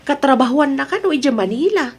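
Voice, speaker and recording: A woman's voice: high-pitched, animated, fast. A woman with an Ilocano accent acting a sensible, chiding wife in a family radio drama. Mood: matter-of-fact, chiding.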